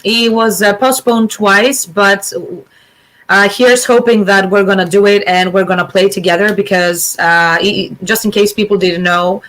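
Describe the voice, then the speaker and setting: A woman's voice: medium pitch, steady tone, normal speed, a bit bright, rough recording. A woman whose first language is Greek, chatting casually as a guest on a video call and often restarting her sentences. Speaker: guest musician. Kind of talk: casual video chat interview.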